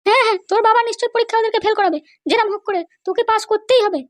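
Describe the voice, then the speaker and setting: Woman as a shrill-high, vindictive cartoon wife. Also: fairly even intonation, rapid-fire. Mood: vindictive, demanding. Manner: commanding, insistent. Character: vindictive, pushy wife of a schoolmaster. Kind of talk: comedy cartoon dialogue.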